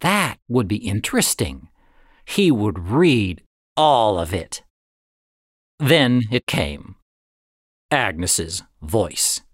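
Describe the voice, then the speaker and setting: A man narrating a story in a deliberate, dramatic way, with expressive phrasing and suspenseful pauses. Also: on the low side, no room sound, studio quality. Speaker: audiobook narrator.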